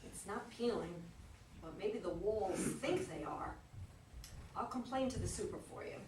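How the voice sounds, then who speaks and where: A woman's voice: mid-range voice, animated, a little slow, natural voice. Heard from far off in an echoey, noisy room, a woman reads the part of a comfortable, well-off woman resident in a stage play. She is polite yet guarded, hesitating as she defends herself. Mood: defensive. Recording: distant echoey live recording, noisy background.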